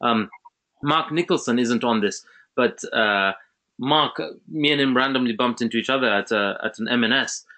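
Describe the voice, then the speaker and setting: Chatty man with a bright voice. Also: mid-range voice, normal speed, poor audio. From Zambia. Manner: chatty, with many restarts and fillers. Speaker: webinar host. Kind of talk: casual online webinar talk.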